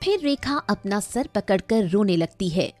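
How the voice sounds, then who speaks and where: A woman's voice: medium pitch, lively intonation, measured pace, darkish tone, no echo. A woman narrating a scripted story in a dramatic storytelling style. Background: faint music.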